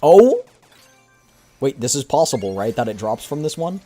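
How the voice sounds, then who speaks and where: A man's voice: mid-range voice, animated, quick pace, warm tone, soft background music. A man livestreaming a game, chatting casually with his audience. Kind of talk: casual game stream chat.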